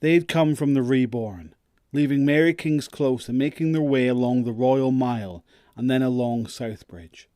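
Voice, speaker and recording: A man's voice: mid-range voice, normal speed, mellow, dry recording, studio quality. A man narrating a scripted audio drama as its storyteller.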